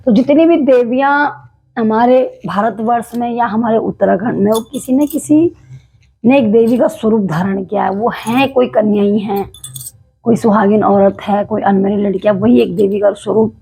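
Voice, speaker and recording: Hoarse devout woman from Uttarakhand. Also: a little high, normal speed, mellow, poor audio. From Uttarakhand, India. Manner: devout, explanatory, with frequent hesitations. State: slightly hoarse throat. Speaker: devotional vlogger telling a temple legend. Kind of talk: casual storytelling to camera.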